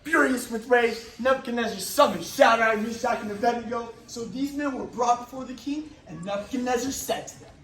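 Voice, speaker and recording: A man's voice: extremely high pitch, fairly quick, hardly any pauses, fairly bright tone, slight background noise. A man giving a declamatory, dramatized recitation of a Bible passage. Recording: echoey hall, far from mic.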